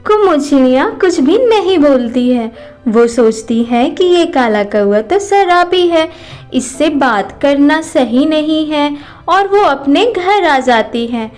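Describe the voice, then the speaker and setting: A woman's voice: sits high, expressive, slow pace, a little mellow, faint music behind. A woman narrating a scripted children's cartoon story.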